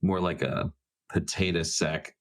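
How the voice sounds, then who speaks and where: A man with a deep voice talks as a tabletop game player. He takes his time, with a casual, improvised delivery. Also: a little slow. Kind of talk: casual, unscripted game talk.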